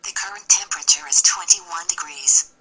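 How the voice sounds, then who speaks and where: A synthetic female voice assistant delivers short scripted replies. The voice is bright and slightly low for a woman, heard through a phone's speaker. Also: moderate pace, a little hiss or hum, low-quality recording. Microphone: phone speaker picked up by camera.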